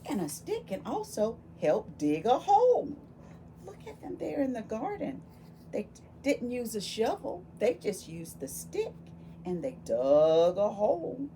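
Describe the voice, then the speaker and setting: A woman reading a picture book aloud to young children, expressive and full of wonder, pausing to ask them questions. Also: on the high side, slight background noise. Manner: animated, exclaiming with gasps and wonder, addressing young children. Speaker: volunteer children's read-aloud storyteller.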